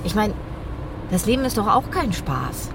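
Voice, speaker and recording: A woman's voice: mid-range voice, big pitch swings, moderate pace, recorded from a distance, background noise. An actress playing a restless, talkative, exasperated woman in her forties, in naturalistic radio drama dialogue. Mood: weary, brooding. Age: 40s. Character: restless, talkative, exasperated woman around forty on a road trip. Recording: music under the dialogue.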